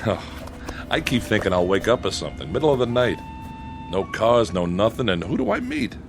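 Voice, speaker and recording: An actor playing a brash, easygoing young sailor in a radio drama, with folksy, blunt, slangy delivery. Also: male voice, lowish voice, big pitch swings, brisk, creaky, music in the background. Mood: easygoing, friendly. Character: brash, easygoing young sailor hitchhiking back to his ship.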